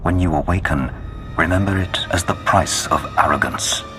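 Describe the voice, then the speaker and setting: An actor playing a menacing, zealous Sith lord in a video game, speaking in a grandiose, solemn and imperious way. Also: male voice, deep voice, moderate pace, sharp tone. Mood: threatening. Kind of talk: video game villain acting. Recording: long cavernous reverb, music behind.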